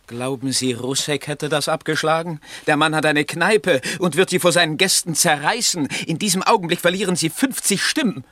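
A man's voice: a little high, moderate pace, hardly any pauses, a bit bright, dry recording, close to an everyday voice. A man playing a mercenary, easily offended campaign backer in a German radio play, blunt and insistent. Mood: exasperated.

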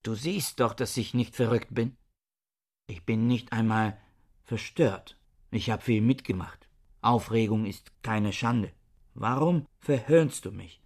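A man's voice: lowish voice, slow, darkish tone. An actor playing an embittered, reclusive male scholar in a radio play, insistent and pedantic in manner. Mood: defensive. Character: embittered, book-obsessed reclusive scholar defending his sanity. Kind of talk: German radio play acting.

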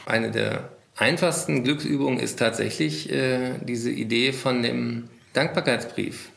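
A man's voice: mid-range voice, steady tone, measured pace, gravelly, fairly bright tone, roomy sound. A man coaching someone on happiness exercises, instructive and asking questions. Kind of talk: coaching conversation.

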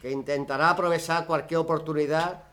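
A man playing a stern, contemptuous father who shouts insults in a radio drama. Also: fairly high pitch, fairly even intonation, brisk, close to an everyday voice. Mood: angry. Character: stern authoritarian father Francisco.

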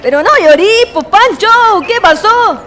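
A woman's voice: very high voice, lively intonation, unhurried, a bit bright, exaggerated voice. A woman playing a worried, scolding young sweetheart with a Chilean accent in a radio drama. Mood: alarmed.

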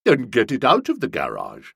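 A man voicing a flustered, pompous young English gentleman writer in an audiobook, wordy and self-justifying, with affectionate upper-class slang. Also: mid-range voice, huge pitch swings, normal speed, gravelly, breathy edge, dry recording, clean studio sound, exaggerated voice. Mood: stern, disapproving.

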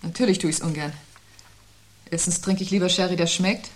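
A woman acting a sharp-witted, contrary wife in a 1970s radio play, phrasing things precisely and with dry irony. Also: lowish voice, steady tone, fairly quick, a little hiss or hum, natural voice. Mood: dry, ironic.

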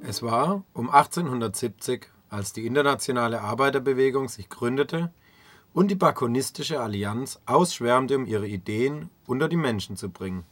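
A man's voice: mid-range voice, lively intonation, normal speed, fairly bright tone, soft background music. A man reading scripted narration as the presenter of a radio feature.

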